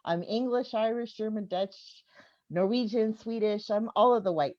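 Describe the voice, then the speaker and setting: A woman's voice: mid-range voice, normal speed, a little mellow. A woman in her fifties performing stand-up comedy, talking conversationally to the audience and often chuckling at her own jokes. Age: 50s. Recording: dull, echoey live venue recording.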